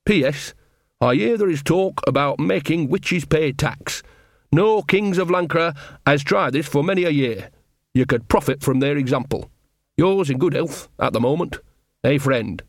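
A blunt old witch voiced by a man, dictating in an audiobook letter. She sounds imperious and deliberate, with a bossy, rustic formality. The recording is dry and close. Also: a little high, expressive, no room sound. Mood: stern, instructing.

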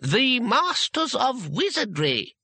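Haughty, high-pitched old wizard schoolmaster. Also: male voice, very animated, pushed and bright, dry recording, heavily acted. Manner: slow, deliberate, haughty. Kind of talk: audiobook character voice.

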